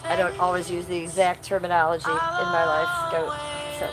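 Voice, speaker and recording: A woman's voice: lowish voice, big pitch swings, moderate pace, creaky. A woman with a summer cold live streaming outdoors, answering her chat by name and coughing between remarks. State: congested with a cold. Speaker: livestreamer. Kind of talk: casual live stream chat reading comments.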